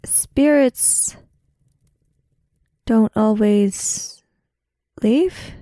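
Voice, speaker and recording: A woman playing a hesitant, polite young woman who speaks to spirits, in halting, soft, formal speech for a tabletop roleplay. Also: on the high side, no room sound, studio quality. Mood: hesitant, earnest.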